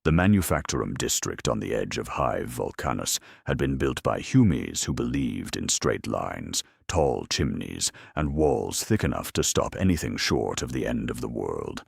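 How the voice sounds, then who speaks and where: A man narrating a scripted dramatic story in a wry, deadpan manner. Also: extremely low pitch, vocal fry, breathy edge, no room sound, clean studio sound.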